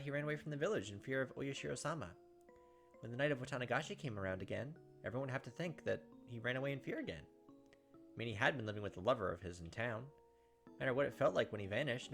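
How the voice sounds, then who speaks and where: A man's voice: medium pitch, a bit bright. A man reading a visual novel's narration aloud as he plays, adding short side comments of his own. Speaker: gaming channel host doing a playthrough. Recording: game music behind the voice. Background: visual novel background music.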